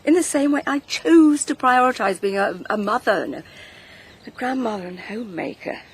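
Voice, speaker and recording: Older English matriarch, firm and persuasive, lively pitch, creaky edge, radio drama. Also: female voice, normal speed, a little hiss or hum. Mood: hurt, resolute. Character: resolute, hurt older wife and family matriarch. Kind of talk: naturalistic radio drama acting.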